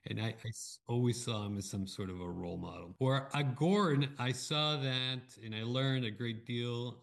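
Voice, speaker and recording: A man's voice: lowish voice, creaky. A man from Argentina who later lived in the United States, explaining his background reflectively as an interview guest. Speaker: interview guest, chief executive.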